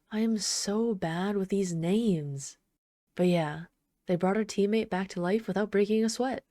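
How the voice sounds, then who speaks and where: A woman playing an obsessive, flirtatious villain in an intimate ASMR roleplay, speaking softly and unhurriedly with teasing, casual menace. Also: lowish voice, no room sound, clean studio sound, lightly acted. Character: obsessive yandere villain, flirtatious bomber calmly toying with trapped hero.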